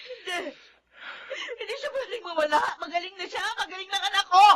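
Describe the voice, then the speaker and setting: A desperate mother who cannot accept losing her child. Her voice is extremely high and piercingly bright, with emotional, pleading radio-melodrama delivery. There is faint noise in the recording. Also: expressive, brisk, full character voice. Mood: sobbing grief. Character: grieving young mother, desperate, in denial over her child's death. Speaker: actress in a radio-style drama. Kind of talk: Filipino radio melodrama acting.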